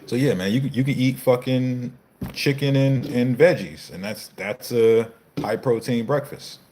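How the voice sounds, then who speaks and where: A man's voice: medium pitch, unhurried, mellow, slight background noise. A man in his thirties, a fitness coach, hosting a casual live Q&A, reading viewer comments and answering in a loose, slangy, profane way. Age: 30s.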